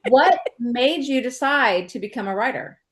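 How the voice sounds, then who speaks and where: A woman's voice: a little high, expressive, moderate pace. A woman hosting a casual conversational podcast interview, chatty and agreeable, talking in loose asides. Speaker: podcast host and author.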